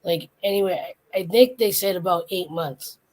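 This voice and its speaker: A woman's voice: on the low side, moderate pace, darkish tone. A woman co-hosting a casual chat, telling a story in a rambling way that trails off and restarts. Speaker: podcast co-host.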